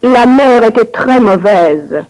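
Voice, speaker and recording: A woman reading scripted dialogue for a language course with clear, careful enunciation. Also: fairly high pitch, animated, slow, darkish tone, rough recording.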